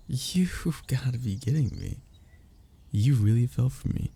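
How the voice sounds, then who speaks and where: A man playing a young, tender, self-deprecating lover in an intimate romantic ASMR roleplay, teasing softly in a low, husky, gravelly voice. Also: full character voice. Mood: teasing, curious. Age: young adult.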